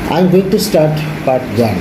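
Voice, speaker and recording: A man's voice: a little high, expressive, normal speed. A man acting as examiner, reading formal test questions. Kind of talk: mock exam questioning. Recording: echoey room, loud background noise.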